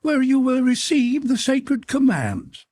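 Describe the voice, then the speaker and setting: A man's voice: very high voice, big pitch swings, takes it slowly, a little mellow, voice pushed up, heavily acted. A man voicing a furious, haughty creature priest in an audiobook, snapping and screaming with menace. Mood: scornful, commanding. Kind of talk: audiobook character voice.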